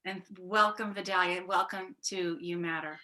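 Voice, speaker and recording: A woman's voice: on the low side, fairly even intonation, moderate pace, pushed and bright. A woman hosting an interview show, enthusiastic and affirming toward her guest, asking curious questions. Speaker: show host. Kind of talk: interview conversation.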